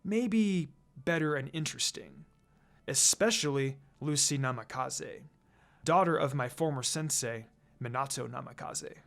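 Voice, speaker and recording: A woman reading fan fiction aloud in a plain, steady read-aloud way. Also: a bass voice, measured pace, creaky. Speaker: fanfic reader.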